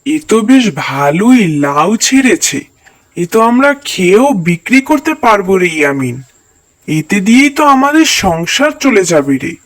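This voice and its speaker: A man's voice: high voice, big pitch swings, moderate pace, a bit bright. A man voicing a poor, devout village farmer and father in a moral cartoon, earnest and plaintive. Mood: relieved, eager. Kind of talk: cartoon voice acting, moral tale.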